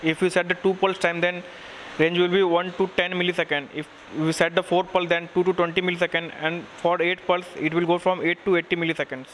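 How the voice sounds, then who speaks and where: A man's voice: sits high, flat delivery, a bit bright. Man demonstrating a machine. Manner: plain, halting explanation with fillers and restarts. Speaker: technical engineer demonstrating a machine. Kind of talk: product demonstration. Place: workshop with machine on a green bench. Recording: noisy workshop room audio.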